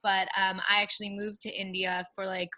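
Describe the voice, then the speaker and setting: An American woman in her thirties from upstate New York, chatting casually and telling personal stories as an interview guest in a candid, joking, animated way. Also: medium pitch, brisk. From upstate New York, United States. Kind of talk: casual chat, personal storytelling.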